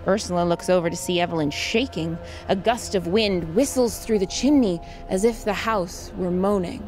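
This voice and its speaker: A woman's voice: on the low side, moderate pace, a little mellow. Woman narrating drama over music. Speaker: host and narrator.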